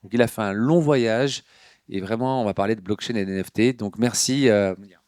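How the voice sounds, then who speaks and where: A man moderating the event introduces the speakers briskly, then switches into halting English. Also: lowish voice, darkish tone, no echo, studio quality.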